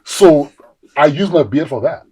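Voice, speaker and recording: A South African man in his forties, an actor telling animated stories in a casual interview and acting out the voices of people he quotes. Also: a little high, a little slow, a crowd in the background, low-quality recording. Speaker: actor guest.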